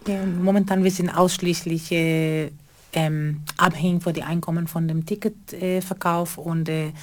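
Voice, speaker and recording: Middle-aged choreographer, earnest and halting interview answers, lowish voice. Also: female voice, little pitch movement. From Israel. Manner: halting, non-native grammar, earnest. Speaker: choreographer and theater co-founder.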